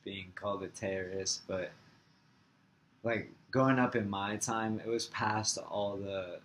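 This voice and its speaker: A young man with a somewhat low voice, from Florida, with Farsi as his first language. He answers interview questions casually and haltingly, with many "like" fillers. A faint hiss sits under his voice. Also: steady tone, fairly bright tone. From Florida, USA.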